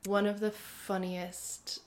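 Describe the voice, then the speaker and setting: A woman's voice: medium pitch, little pitch movement, slow. A woman in her twenties with an Irish accent, chatting casually and telling stories animatedly as a language assistant on a podcast. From Ireland. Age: 20s.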